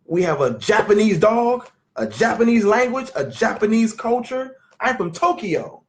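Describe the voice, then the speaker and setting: A man, teaching scripture in an animated, questioning way at a family sit-down. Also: high-pitched. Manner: emphatic, questioning, drawn-out teaching. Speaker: Bible teacher. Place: living room at a family sit-down.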